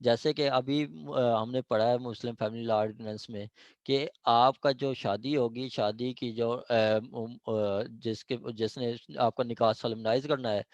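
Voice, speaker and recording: Man, Pakistani accent, medium pitch, informal teaching style, frequent questions, English terms mixed in, dry lo-fi audio. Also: fairly even intonation, a little slow, no room sound. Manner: explanatory, with frequent check-in questions and repetition. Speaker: law lecturer. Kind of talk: informal word-by-word lecture, mixing in English terms.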